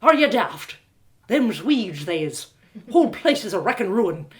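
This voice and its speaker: A woman's voice: medium pitch, big pitch swings, unhurried. A woman voicing a suspicious rustic cottage owner who scolds brusquely in countrified speech. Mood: scolding. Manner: rustic dialect grammar, brusque.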